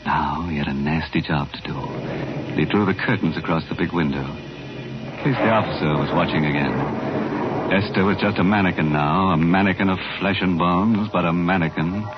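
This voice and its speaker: An actor narrating an old-time radio thriller as an ominous, sardonic storyteller of the macabre. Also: male voice, low-pitched, normal speed, lightly acted. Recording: muffled vintage radio recording. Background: dramatic music.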